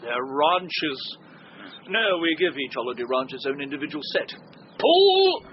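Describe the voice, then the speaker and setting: A man's voice: fairly high pitch, very animated, measured pace. A male actor playing a blustering, penny-pinching British holiday-camp tycoon in a comic radio drama. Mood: cagey, brusque, then shouting. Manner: blustering, shouts commands mid-talk. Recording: dull, lo-fi radio sound, faint noise.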